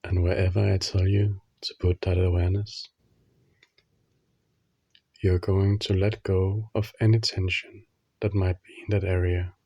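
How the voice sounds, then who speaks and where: Male meditation guide, husky and very unhurried, soothing short phrases, even delivery for sleep relaxation. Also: sits low, no room sound, lo-fi sound. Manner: slow, soothing, instructional, with long pauses between short phrases.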